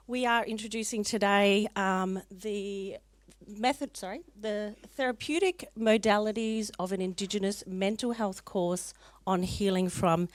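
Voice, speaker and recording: A woman from the Kimberley region of Western Australia, a lecturer, introducing a conference panel in a relaxed way with fillers. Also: mid-range voice, dry recording. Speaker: lecturer and academic.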